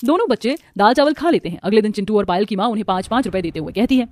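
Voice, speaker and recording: Fast-paced woman narrating a cartoon story. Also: mid-range voice, expressive, faint music behind.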